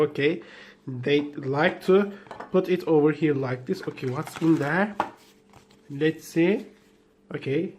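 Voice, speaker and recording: Guy with turkish as his first language, kinda high voice, slow and hesitant, just woke up, unboxing stuff. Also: a little mellow, slight background noise. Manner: hesitant, halting, with many fillers and restarts. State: just woken up. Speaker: tech vlogger. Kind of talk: unboxing commentary.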